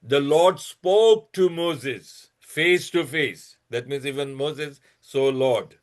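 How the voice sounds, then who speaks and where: Slow, deliberate man with a high voice. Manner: slow, deliberate, with frequent restarts and fillers; blunt and scolding toward critics. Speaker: channel host presenting a religious comparison. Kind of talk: lecture-style presentation reading out references.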